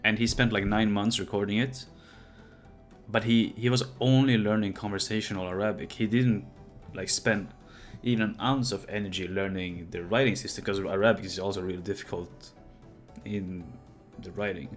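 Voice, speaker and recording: Young male host, very low voice, rambling casual chat with faint music underneath. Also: fairly even intonation, normal speed. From Sweden. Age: young adult. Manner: rambling, thinking aloud with many restarts.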